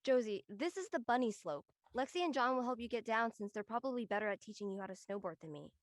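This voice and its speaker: A woman's voice: fairly high pitch, lively intonation, quick pace, no echo, studio quality, natural voice. A woman playing an earnest, protective, easily exasperated young college student in an audio drama. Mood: protective, annoyed. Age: young adult. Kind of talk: acted audio drama dialogue.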